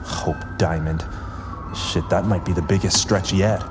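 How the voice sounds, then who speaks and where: An actor playing a weary, sarcastic but dedicated paramedic driver in an audio drama, gruff and exasperated. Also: male voice, low voice, steady tone, measured pace, over music. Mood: fed up. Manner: sardonic, exasperated, swearing. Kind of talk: audio drama acting with internal narration. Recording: clear vehicle ambience behind the voice. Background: ambulance and traffic sound effects.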